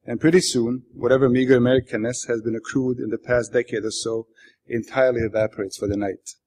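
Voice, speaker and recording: A man from Bosnia, a native Bosnian speaker with a Bosnian accent, reads literary prose aloud as its author in a wry, deadpan manner. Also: medium pitch, fast talker. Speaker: author reading his own work. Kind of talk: literary reading aloud to an audience.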